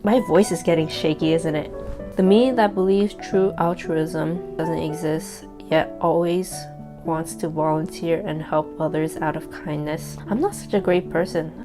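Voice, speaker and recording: A woman's voice: deep voice, fairly even intonation, a little slow. A young woman in her twenties whose native language is Cantonese, reading her own old letter aloud and reacting to it in a candid, self-deprecating way. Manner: candid, reflective, self-deprecating with soft laughs. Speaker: vlogger. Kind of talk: casual reading of a personal letter. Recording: guitar music playing behind.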